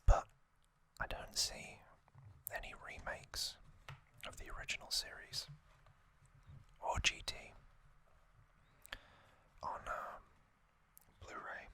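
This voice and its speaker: A man hosting an ASMR video, whispering unscripted commentary in a hushed, unhurried, halting manner. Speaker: host of an ASMR channel.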